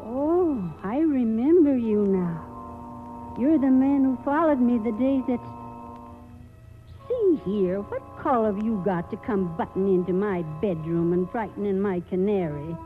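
Slow-spoken old American country wife, played by a woman. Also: mid-range voice, expressive, natural voice. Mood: startled, indignant. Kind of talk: 1940s radio acting. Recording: tinny old radio broadcast, music behind.

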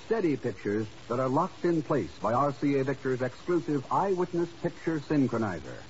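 A man's voice: mid-range voice, big pitch swings, moderate pace, slight background noise. A man announcing from a script in the style of 1950s American network radio. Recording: dull 1950s radio recording. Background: music.

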